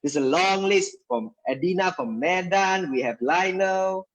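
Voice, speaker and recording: Malaysian guy chatting on a live stream, bit high voice, mixes languages, says things twice. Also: expressive, measured pace, rough recording. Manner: code-switching, chatty, repeats himself. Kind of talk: casual live stream chat.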